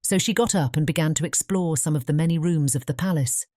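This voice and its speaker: Woman narrator, very low pitch, dark mellow tone, fairy-tale audiobook, dry close recording. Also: brisk, no echo.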